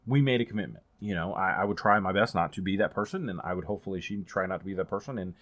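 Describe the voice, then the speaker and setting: Low-voiced man chatting about books. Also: quick pace, a bit bright, dry recording. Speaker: book reviewer. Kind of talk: casual chatty book vlog.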